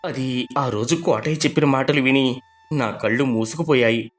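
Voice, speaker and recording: An actor playing a stern, penny-pinching middle-class husband who talks down to his family in an animated moral story. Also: mid-range voice, normal speed, faint music behind, close to an everyday voice. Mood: ashamed, panicked. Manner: scolding, questioning. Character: stern, tight-fisted middle-class husband, bank clerk, belittling his wife.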